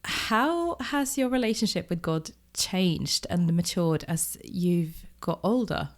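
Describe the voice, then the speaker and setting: Female interviewer, soft encouraging questions, lowish voice. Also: expressive, slow pace. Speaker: producer interviewer. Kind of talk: conversational interview.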